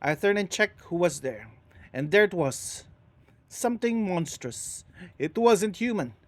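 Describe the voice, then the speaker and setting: A man's voice: high voice, animated, measured pace, no room sound. A man voicing a grieving professor who tells of a haunting in the first person, shaken and anguished. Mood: haunted. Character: grieving middle-aged male professor recalling horror.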